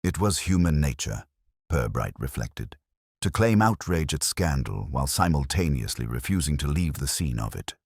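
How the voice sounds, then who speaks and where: A man narrating a literary mystery in a measured, dramatic storytelling manner. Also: a bass voice, big pitch swings, a little mellow, slightly rough, dry recording, clean studio sound.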